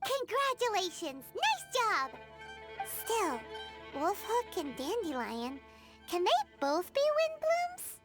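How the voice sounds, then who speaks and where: A woman's voice: extremely high pitch, huge pitch swings, takes it slowly, loud music behind the voice, heavily acted. A woman voicing a tiny, childlike, cheeky fairy companion in a cartoonish English game dub. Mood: skeptical, teasing.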